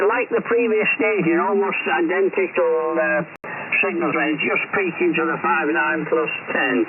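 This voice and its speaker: Halting older man on noisy shortwave radio. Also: fairly high pitch, narrow, tinny audio. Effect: shortwave radio transmission. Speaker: amateur radio operator. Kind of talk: unhurried ham radio contact chat. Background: radio static.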